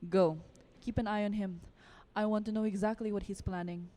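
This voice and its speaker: A woman's voice: medium pitch, fairly even intonation, fairly quick, dark tone, natural voice. A woman playing a scheming, taunting vampire aristocrat in an audio drama, condescending, drawling and teasing. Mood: cold, commanding.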